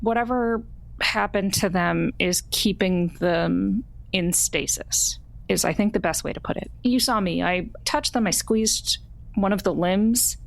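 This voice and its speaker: Mid-pitched woman, halting tabletop roleplayer. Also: vocal fry. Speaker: player in a tabletop game. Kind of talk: improvised in-character conversation.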